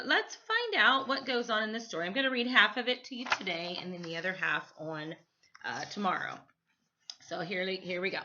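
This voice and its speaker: A woman's voice: fairly low pitch, sharp tone, highs cut off, slight background noise. A woman reading a children's story aloud expressively, as a storytime teacher.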